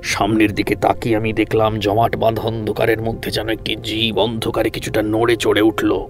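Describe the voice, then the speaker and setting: A man with a low voice narrates a horror tale as a dramatic audio story. His delivery is measured and suspenseful with a gravelly edge, and music plays faintly underneath. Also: completely flat delivery. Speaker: storyteller narrating in first person. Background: background music.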